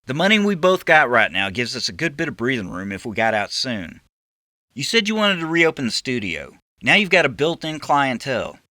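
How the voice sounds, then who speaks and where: A man's voice: mid-range voice, very animated, moderate pace, pushed and bright, no echo, clean studio sound, pitched above the performer's own voice. A man voicing an anxious, cautious sidekick to a con, protesting and reasoning in an audiobook story. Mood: pleading.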